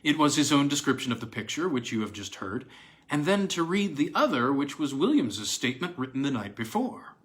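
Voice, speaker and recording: A man narrates a literary tale aloud. His medium-pitched voice is bright and forceful, with animated rises and falls that give the reading an expressive storytelling feel. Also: brisk. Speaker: narrator, reader.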